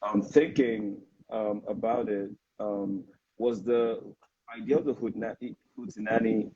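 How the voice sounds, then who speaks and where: A man's voice: lowish voice, steady tone, measured pace, dark tone, breathy edge. A man with a Nigerian accent hosting a casual live interview, hesitant, with many fillers and restarts. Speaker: interviewer and live stream host. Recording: dull lo-fi livestream audio.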